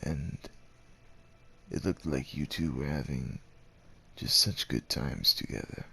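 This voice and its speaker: A man playing a devoted, gentle werewolf suitor in an ASMR roleplay, speaking with hesitant tenderness and courtly phrasing in a gravelly, husky voice. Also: a bass voice, takes it slowly, exaggerated voice. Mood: hesitant, earnest. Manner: hesitant, tender, old-fashioned courtly phrasing with tag questions. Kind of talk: ASMR roleplay, acted monologue addressed to the listener.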